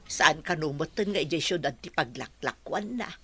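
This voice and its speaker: A woman's voice: sits low, expressive, normal speed, sharp tone. An actress with an Ilocano Filipino accent playing a practical mother in a radio drama. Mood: matter-of-fact.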